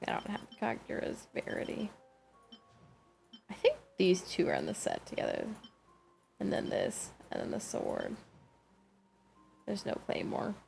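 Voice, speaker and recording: A woman livestreaming a game with casual, rambling commentary, thinking aloud in a halting way and questioning herself. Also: mid-range voice, huge pitch swings, creaky, darkish tone, soft background music.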